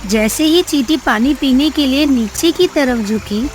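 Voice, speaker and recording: High-voiced woman narrating a children's story. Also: a little slow, soft background music.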